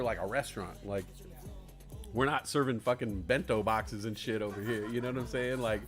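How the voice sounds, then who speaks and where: Profane, rambling young male streamer. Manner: profane, rambling, jokey, swings from low mumbles to loud shouts. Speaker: livestream host. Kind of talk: casual live stream chat.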